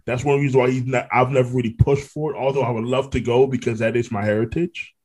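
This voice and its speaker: A man's voice: medium pitch, steady tone, fast, darkish tone. A man from Brooklyn, New York, hosting a podcast, asking questions in a friendly, rambling, casual way. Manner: friendly, rambling, many restarts. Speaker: podcast host. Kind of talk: casual interview chat.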